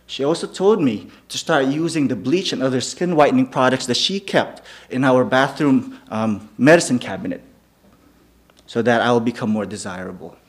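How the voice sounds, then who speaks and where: A man's voice: mid-range voice, normal speed. A man from the Philippines whose first language is Filipino, telling personal stories to a live audience and often checking in with "okay?". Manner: keeps checking in with the crowd with "okay?". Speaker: speaker. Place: venue before a live audience.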